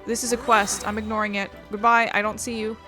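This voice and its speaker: A woman's voice: mid-range voice, little pitch movement, fairly quick, pushed and bright. Female gaming host, mid-range voice, casual and rambling, faint music underneath. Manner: rambling, thinking aloud. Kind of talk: casual let's play commentary.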